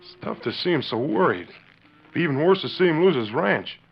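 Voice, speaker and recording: A man's voice: fairly high pitch, lively intonation, fairly quick, creaky, breathy edge, exaggerated voice. A man acting as a spiteful, scheming young rancher's son in a 1940s radio western, with folksy cowboy phrasing. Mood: slyly confiding. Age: young adult. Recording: muffled, noisy old 1940s radio recording.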